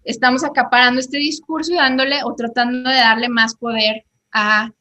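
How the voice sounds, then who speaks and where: A woman's voice: fairly high pitch, level tone, moderate pace, pushed and bright. A woman being interviewed, explaining ideas at length with examples and frequent "eh" fillers. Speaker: guest on economics show. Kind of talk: conversational explanation.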